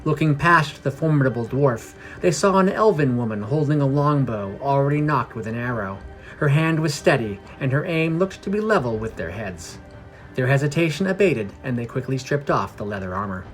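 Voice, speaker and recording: A man narrates a fantasy adventure story in a scripted read, his voice at an average male pitch. Music plays underneath as he tells the tale for a podcast. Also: expressive, normal speed, low-quality recording.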